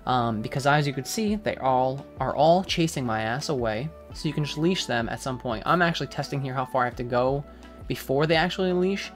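A man's voice: mid-range voice, a little fast, few pauses, faint music behind. Casual man narrating a game guide. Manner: casual, relaxed, with frequent fillers. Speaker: gaming guide creator. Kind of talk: game walkthrough commentary.

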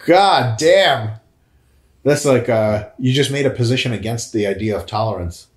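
A man in his thirties on a couch, answering viewer questions in a casual, jokey, profane way, with big swings in pitch. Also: lively intonation, normal speed. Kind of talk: casual chat. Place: indoor room, on a couch. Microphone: lapel mic. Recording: echoey room.

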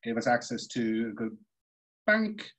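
A man from Switzerland, a podcast guest, telling the story of his career in a relaxed, rambling way. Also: mid-range voice, a little slow. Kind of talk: conversational storytelling.

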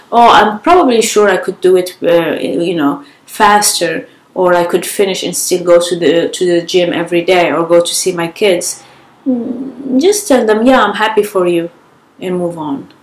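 A young woman vlogger giving frank advice to the camera from her living room, sounding tired and a bit nasal from a cold. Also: on the low side. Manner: frank, unhurried, with small restarts. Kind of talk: casual advice talk to camera. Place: living room with sofa, indoors. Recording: echoey room.

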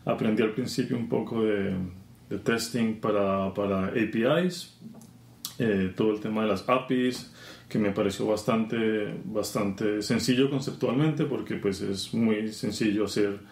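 A Colombian man guest talking casually about his work in software testing, relaxed with many fillers. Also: on the low side, a little slow. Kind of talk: casual interview. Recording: roomy conference venue.

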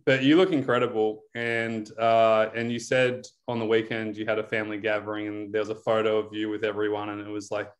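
A man in his thirties talks through a video call as coach and interviewer. His voice sits on the low side, and he laughs easily and fills pauses with ums and likes. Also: flat delivery. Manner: chatty, laughs often, frequent fillers. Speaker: interviewer, recovery program coach. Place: indoor room.

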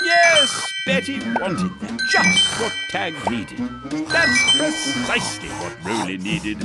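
A woman narrates a children's game slowly and patiently, her voice leaping all over the scale as she praises and encourages. The game audio has loud, bouncy music underneath her. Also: sits high. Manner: encouraging, praising, sing-song. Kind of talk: scripted narration for young children.

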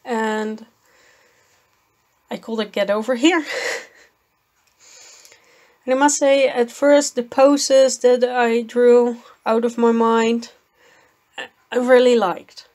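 Slow-talking woman losing her voice. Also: on the high side, flat delivery, darkish tone. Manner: slow, thoughtful, with fillers and small laughs. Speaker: art channel host. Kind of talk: voice-over commentary on a painting video.

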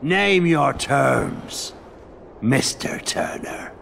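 An actor playing a sly, menacing older pirate captain in a video game, with a gravelly voice and a theatrical, sardonic drawl. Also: male voice, mid-range voice, wildly swooping pitch, very slow, a bit bright, slight background noise, full character voice. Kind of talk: video game cutscene acting.

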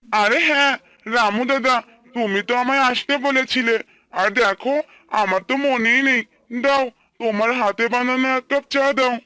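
A man's voice: extremely high pitch, moderate pace, sharp tone, smooth, no room sound, studio quality. A man voicing an earnest, plaintive, humble farmer and worried husband in a cartoon. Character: anxious humble young farmer and husband, pleading. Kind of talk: Bengali cartoon dialogue dubbing.

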